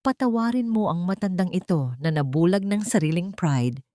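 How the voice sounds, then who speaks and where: A woman's voice: fairly low pitch, expressive, normal speed, mellow, no echo, natural voice. A woman plays an old, wealthy patriarch in an audio drama, humbled and full of regret. The voice trembles with remorse and sits close and dry. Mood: remorseful, earnest.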